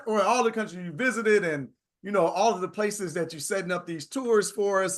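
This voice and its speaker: A man's voice: high voice, a bit bright. A male interview host, upbeat, asking long rambling questions with many fillers. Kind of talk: conversational interview.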